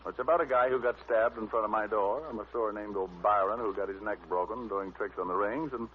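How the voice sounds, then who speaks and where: An actor with an American accent playing a wry, confident private detective in an old-time radio drama, talking briskly and sardonically. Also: male voice, fairly low pitch, steady tone, lightly acted. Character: wisecracking, confident male private detective narrating his case. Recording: noisy, tinny old radio recording.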